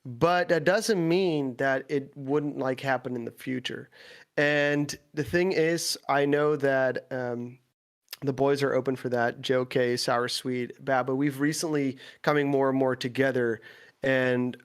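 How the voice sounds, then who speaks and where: A man's voice: medium pitch, fairly even intonation, measured pace, clear, resonant tone. Young Dutch man with American-tinged English. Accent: American-sounding Dutch. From Netherlands. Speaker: podcast guest, gaming content creator. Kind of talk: casual chat.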